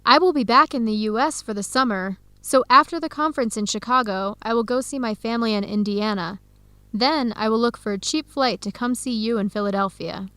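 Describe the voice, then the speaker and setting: An earnest young woman on a search, kinda high voice, dry studio sound, radio drama chat. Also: a little fast, bright, forceful tone, dry recording. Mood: resolute. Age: young adult. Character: earnest young American woman researcher searching for her birth family. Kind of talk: scripted educational radio drama dialogue.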